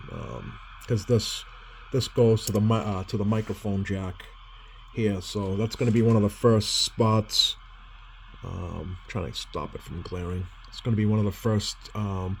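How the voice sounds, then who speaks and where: Low-voiced man thinking aloud, noisy background. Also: a little mellow. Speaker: CB radio repair technician demonstrating. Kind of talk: casual hands-on repair walkthrough. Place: indoor workbench. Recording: clear background noise.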